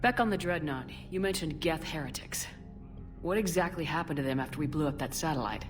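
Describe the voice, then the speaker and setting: A tough female military commander from a video game played by an actress, her voice pitched low. She is direct and controlled, questioning like an officer in charge. Music is clearly audible underneath. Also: fairly quick, close to an everyday voice. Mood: serious, probing.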